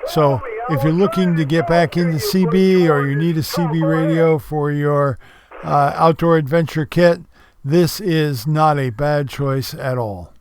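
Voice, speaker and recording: A man with a slightly higher-than-average voice narrates a product review. His delivery is casual and explanatory, with informal phrasing as he walks through features. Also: unhurried, a crowd in the background.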